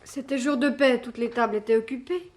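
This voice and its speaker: A high-voiced young waitress, played by an actress in a French mystery drama. She is anxious and earnest, stressing her words as she pleads and defends someone she loves. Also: fairly even intonation, fairly quick, natural voice. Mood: distressed, recounting. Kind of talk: French radio mystery play acting.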